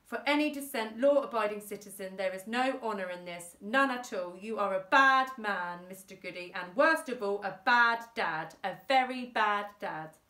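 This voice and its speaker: A woman's voice: medium pitch, moderate pace, few pauses, bright, forceful tone, far from the mic, close to an everyday voice. Woman doing a stern indignant judge from a kids book, pompous emphatic telling-off, bit echoey. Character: stern, indignant judge passing sentence on a criminal. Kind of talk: children's storybook character reading. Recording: roomy, echoey indoor sound.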